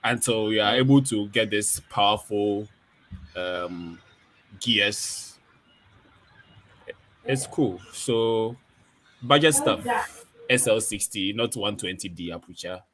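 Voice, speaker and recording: Deep-voiced male creator, easygoing, stop-start talk, USB desk mic. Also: slight background noise, rough recording. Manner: relaxed, halting, many restarts. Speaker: photographer and video creator. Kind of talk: casual live video call chat. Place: home studio with ring light. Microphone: USB desk microphone on video call.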